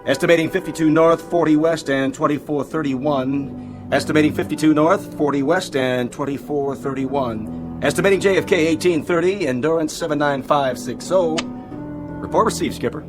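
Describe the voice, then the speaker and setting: A man's voice: fairly high pitch, normal speed, music in the background, close to an everyday voice. An actor playing a dutiful male airline radio operator in a radio drama, with a formal, procedural delivery. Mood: businesslike.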